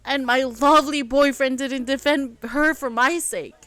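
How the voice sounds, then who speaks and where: Woman reading it out as a heartbroken young girl, choked up and halting, kinda slow. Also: a little high, fairly bright tone. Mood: upset.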